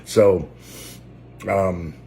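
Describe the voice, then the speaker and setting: A man in his forties from Maine with a Maine accent, telling his life story unscripted, halting and close to tears. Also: deep voice, fairly even intonation, mellow, slight background noise. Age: 40s. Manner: halting, many fillers and restarts. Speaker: trucker and preacher. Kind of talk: unscripted personal testimony.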